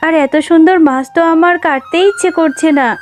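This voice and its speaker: A practical mother fox from a children's cartoon, played by a woman, speaks in a very high voice. She is homely and expressive, a village wife minding her household. Music plays behind her. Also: brisk. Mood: agreeable.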